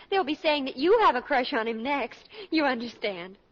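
A woman's voice: sits high, lively intonation, normal speed. A woman in a 1940s radio drama playing an eager, lovestruck college girl of seventeen, earnest and chatty. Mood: confiding. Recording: dull vintage radio recording.

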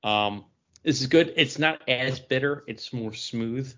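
A man of middling pitch gives casual impressions as a podcast co-host. He muses as he talks, feeling for his words. The audio is somewhat dull and lo-fi. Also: slow pace, fairly bright tone. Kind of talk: casual chat, tasting review.

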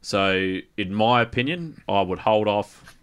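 A man hosting a casual gaming podcast, bantering drily and pausing as he steers the talk. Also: on the low side, expressive, a bit bright, smooth, dry recording. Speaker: podcast host.

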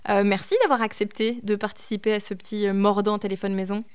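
A woman's voice: medium pitch, little pitch movement, fast, clean tone. Woman podcast host, informal and conversational. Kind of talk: scripted introduction and casual phone interview.

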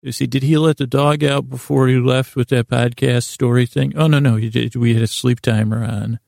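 A man hosting a podcast with a meandering, halting bedtime monologue, often trailing off mid-thought in a gravelly voice. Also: mid-range voice, steady tone, a little mellow, no room sound, studio quality. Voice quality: very creaky, gravelly voice. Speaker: podcast host. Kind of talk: rambling bedtime storytelling monologue.

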